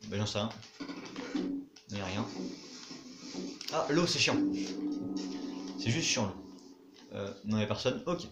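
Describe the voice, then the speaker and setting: A man's voice: lowish voice, expressive, a little slow, long pauses, roomy sound, loud music behind the voice. A young man giving casual commentary over his own gameplay, chatty, muttering to himself and swearing now and then. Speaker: gaming YouTuber.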